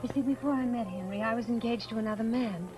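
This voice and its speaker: An actress playing a troubled, earnest wife confiding hesitantly in an old radio horror drama. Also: female voice, fairly high pitch, quick pace, natural voice. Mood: anguished, confiding. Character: troubled, confiding wife recounting her lost love. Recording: old radio recording with music.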